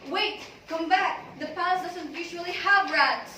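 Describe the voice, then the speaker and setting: A woman's voice: very high voice, unhurried, pushed and bright, full character voice. A woman playing earnest, put-upon storybook characters in an amateur readers' theater, with an exclaiming delivery. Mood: alarmed. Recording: distant, very echoey room, faint noise.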